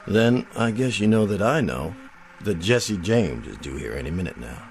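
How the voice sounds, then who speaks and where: An actor playing a laconic, tough, menacing western marshal with terse, drawling cowboy talk. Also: male voice, fairly low pitch, expressive, moderate pace, a little hiss or hum, pitched below the performer's own voice. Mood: quietly menacing. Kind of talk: western film dialogue.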